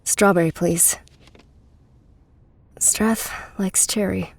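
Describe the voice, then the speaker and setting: A woman playing a guarded, intense runaway from a cult family in a horror audio drama, speaking with deliberate care. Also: mid-range voice, steady tone, creaky, husky, full character voice. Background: music.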